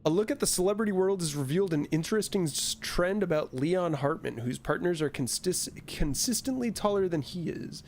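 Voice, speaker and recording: A man with a slightly high-pitched voice commentates casually on a game, reading text out as he goes. His manner is wry and mildly profane. Some faint noise sits behind him. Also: expressive, a little fast, few pauses. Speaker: streamer playing a horror game.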